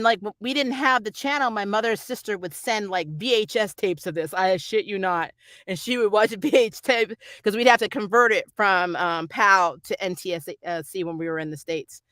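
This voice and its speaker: A woman's voice: mid-range voice, brisk, a bit bright, dry recording. Chatty, sweary woman, dry close sound. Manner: rambling, candid and sweary, chuckling often. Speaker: host of a TV and film review channel; actor who auditions. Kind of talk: casual unscripted livestream chat.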